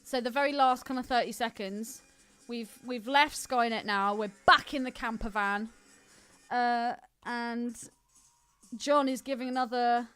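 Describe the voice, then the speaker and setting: A woman co-hosting a podcast in casual chat about a film, animated and exclamatory, with plenty of swearing. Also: fairly high pitch, smooth. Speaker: podcast co-host.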